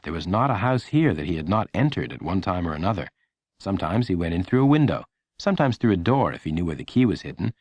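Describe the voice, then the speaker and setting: Low-voiced, expressive male storyteller. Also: fast, no echo. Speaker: audiobook narrator. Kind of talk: scripted children's story narration.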